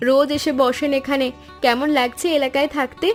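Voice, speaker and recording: Lady doing a sweet-mannered caring cartoon granddaughter, young, polite, high voice, soft music behind. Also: level tone, brisk, natural voice. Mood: polite, friendly. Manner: polite, earnest. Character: caring, hardworking young granddaughter serving at family shop. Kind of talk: cartoon story dialogue.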